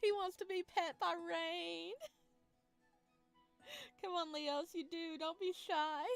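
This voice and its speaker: A woman hosting a let's play, narrating a visual novel and reacting casually in an expressive, informal way. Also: very high voice, slow, creaky. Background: music.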